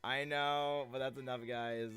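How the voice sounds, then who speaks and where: A young man chatting playfully with his stream viewers, a little gravelly and prone to repeating himself. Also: mid-range voice, level tone, slow, fairly bright tone, soft background music. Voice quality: slightly gravelly. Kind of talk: casual live-stream chat with viewers.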